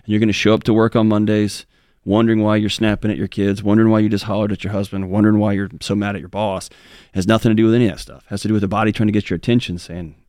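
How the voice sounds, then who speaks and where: An earnest man co-hosting a talk show, rambling a little and restarting his sentences as he talks. He speaks quickly in a somewhat low voice. The sound is close and dry. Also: little pitch movement, a little mellow, no echo. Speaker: co-host. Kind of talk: casual talk show conversation.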